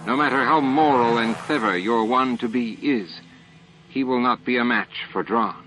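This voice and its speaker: A man's voice: mid-range voice, moderate pace, lightly acted. A man voicing a fierce, snappish elderly female alien scientist in an audiobook drama. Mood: indignant, scornful. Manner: snappish, forceful, righteous. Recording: dull lo-fi audio with music.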